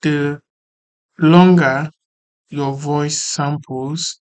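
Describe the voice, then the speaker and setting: A tutorial host explaining software step by step in an informal way, repeating points and often checking that the listener follows. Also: medium pitch, fairly even intonation, drawn-out words. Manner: repetitive, often checking for understanding.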